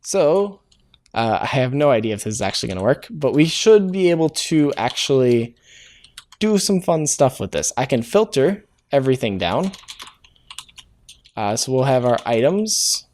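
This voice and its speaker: A man's voice: medium pitch, sing-song, moderate pace, a little mellow. A man hosting a programming tutorial, talking casually as he codes, thinking aloud, hesitating and correcting himself with many filler sounds. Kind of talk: casual live-coding walkthrough.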